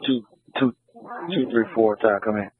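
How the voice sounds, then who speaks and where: A man with a low voice handles dispatch requests over two-way radio. The sound is thin and band-limited, like a police scanner feed. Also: fairly even intonation, normal speed, phone-quality sound. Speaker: radio operator relaying service requests.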